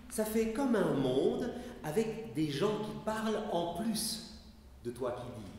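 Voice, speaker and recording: A man giving a live sound poetry reading on a festival stage, reciting slowly and measuredly with wide swings in pitch and loudness. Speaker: poetry performer. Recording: very echoey hall, live festival capture.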